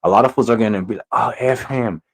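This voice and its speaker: Low-pitched man talking slangy street commentary. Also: quick pace. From Inland Empire, California. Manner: slangy, emphatic street talk, swearing. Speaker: reaction channel host. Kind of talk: casual reaction commentary.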